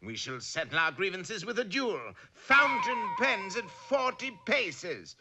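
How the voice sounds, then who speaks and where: A man's voice: sits high, big pitch swings, a little slow, over music. A man voicing a pompous, quarrelsome Elizabethan playwright in a cartoon, blustering and declaiming. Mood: indignant. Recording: noisy old cartoon soundtrack.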